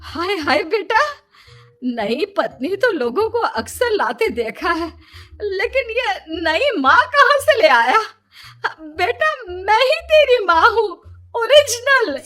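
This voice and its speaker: A woman's voice: very high voice, sing-song, slow pace, fairly bright tone, faint music behind, pitched above the performer's own voice, full character voice. A woman voicing an elderly, clinging, dramatic Indian mother in a children's cartoon. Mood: shocked, wailing. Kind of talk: Hindi children's cartoon dubbing.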